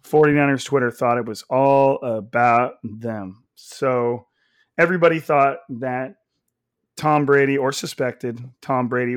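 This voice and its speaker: Hoarse, raspy man hosting a podcast. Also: mid-range voice, dry recording, clean studio sound. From Central California. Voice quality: raspy and a bit nasal. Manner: talks loudly, energetic. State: hoarse from coaching. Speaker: podcast host. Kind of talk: casual sports podcast chat.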